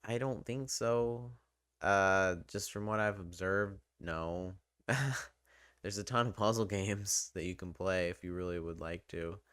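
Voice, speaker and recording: Low-voiced casual man, crisp and bright. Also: slow pace, dry recording, studio quality. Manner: informal, hesitant, self-deprecating. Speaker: video game reviewer and channel host. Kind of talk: casual unscripted commentary.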